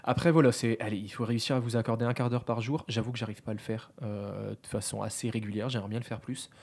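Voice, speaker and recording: A man's voice: lowish voice, steady tone, quick pace, vocal fry, darkish tone, breathy edge. A man co-hosting a podcast, chatting casually and at length, with many fillers and asides. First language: French. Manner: talkative, self-deprecating, many fillers. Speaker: podcast co-host.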